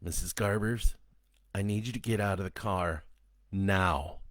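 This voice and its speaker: Grim survivor, read by a man in his own deep voice: curt, firm orders. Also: a little slow, dry recording, studio quality. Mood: stern. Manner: flat, firm commands. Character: stern grim man with a hammer.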